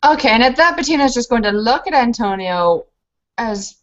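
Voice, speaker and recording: A woman's voice: fairly high pitch, lively intonation, normal speed, a bit bright, dull audio. A woman playing a tabletop game, casually describing what her character does and says. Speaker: player in a tabletop roleplaying game.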